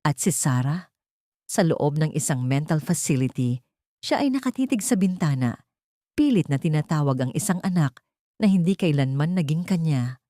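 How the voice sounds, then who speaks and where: A woman narrating a dramatic audiobook story in a steady storytelling manner. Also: low-pitched, no echo, clean studio sound.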